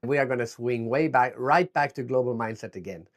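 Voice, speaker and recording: A man's voice: mid-range voice, fairly quick. A man from Sweden, a guest author, answering interview questions in an enthusiastic, reflective way over a video call. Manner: reflective, enthusiastic, with some restarts.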